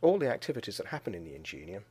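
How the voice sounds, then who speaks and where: A man with a low, slightly husky voice presents to a conference audience. He explains what is on screen in an even, measured way and stops now and then with an "uh" before going on. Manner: measured and explanatory, with frequent "uh" pauses. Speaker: school educator presenting at a conference. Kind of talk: conference presentation narrating slides and video.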